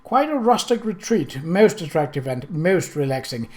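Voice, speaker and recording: A man voicing a suave, wealthy older gentleman who is courtly, effusive and wryly amused in an audiobook. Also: on the high side, sing-song, normal speed.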